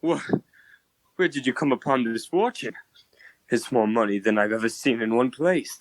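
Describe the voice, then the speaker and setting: A man playing an earnest nineteenth-century gentleman inventor with formal, old-fashioned diction in a comic dub. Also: medium pitch, normal speed, a little hiss or hum, natural voice, poor audio. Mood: puzzled, worried.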